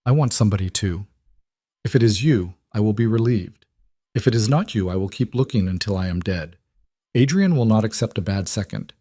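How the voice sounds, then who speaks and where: A man voicing a blunt male crime boss in his sixties, gravelly and calm in a plain-spoken, lecturing way. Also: on the low side, brisk, no room sound, studio quality, natural voice. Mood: frank. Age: 60s.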